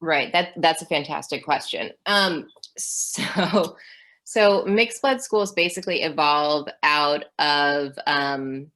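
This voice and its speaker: A woman's voice: low voice, slow pace, fairly bright tone. A woman in her thirties, a historian guest in an interview, talking through ideas thoughtfully with frequent ums and restarts. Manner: thoughtful, with many fillers. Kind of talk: academic interview.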